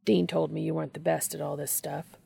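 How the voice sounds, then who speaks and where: A woman's voice: low-pitched, little pitch movement, fairly quick, vocal fry, dark tone, slightly rough, dry recording, clean studio sound, heavily acted. A woman voicing a burly, kindly male mechanic in a storybook narration, with an easygoing, folksy, reassuring manner.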